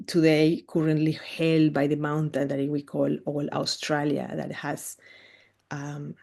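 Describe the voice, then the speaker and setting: Woman from mexico guiding a group call, dark mellow tone, earnest spiritual talk. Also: sits low, vocal fry. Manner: slow, earnest, spiritual phrasing. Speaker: expedition guide.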